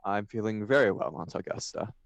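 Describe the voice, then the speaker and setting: Man acting a witty young dandy, lowish pitch, dry sound, flippant, theatrical, stage comedy. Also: a little fast, vocal fry, dry recording. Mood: polite, airy. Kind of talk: table read of a stage comedy.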